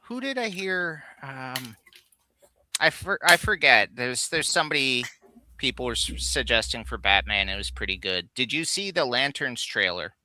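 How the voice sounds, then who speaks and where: A man's voice: mid-range voice, lively intonation, moderate pace, pushed and bright, a little hiss or hum. A man in casual, explanatory conversation as a co-host on a geek talk stream. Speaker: guest co-host on a geek countdown livestream. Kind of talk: casual chat.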